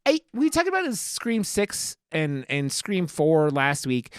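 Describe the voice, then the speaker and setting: A man's voice: a little high, huge pitch swings, measured pace, clean tone, no room sound, clean studio sound. A man co-hosting a podcast, chatting casually about film in a rambling, emphatic, sweary way. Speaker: podcast co-host. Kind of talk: casual film review chat.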